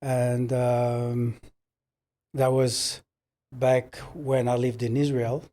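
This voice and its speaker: An older man from Morocco whose first language is French, telling his life story slowly as a podcast guest, with hesitant pauses and fillers. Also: mid-range voice, little pitch movement, mellow. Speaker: podcast guest, business owner. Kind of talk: relaxed interview storytelling.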